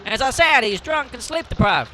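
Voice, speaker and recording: Very high, bright, sharp sibling acted by a man. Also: expressive, normal speed, slight background noise, exaggerated voice. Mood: stern. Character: sharp, hard-working sibling in charge of farmhouse. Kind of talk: amateur audio drama acting.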